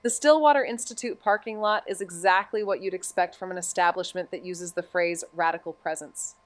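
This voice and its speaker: A woman's voice: fairly low pitch, a little fast, no room sound, natural voice. A woman acting a wry, profane young amateur detective in a noir audio drama, moving between casual banter and narration. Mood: wry, hushed narration.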